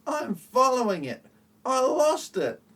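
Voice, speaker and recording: A woman acting with a high voice plays young characters in an amateur audio play, a sci-fi spoof. She sounds slightly distant from the microphone. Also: sing-song, takes it slowly, a bit bright, slightly rough, heavily acted. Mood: intent.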